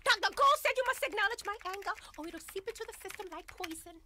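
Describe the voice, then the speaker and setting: A woman's voice: extremely high pitch, expressive, fast, bright, forceful tone, a little hiss or hum, exaggerated voice. A woman playing a fiery, melodramatic girlfriend in a comedic audio drama, exclaiming theatrically. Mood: sulky, annoyed. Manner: theatrical, exclaiming, peppering English with Spanish.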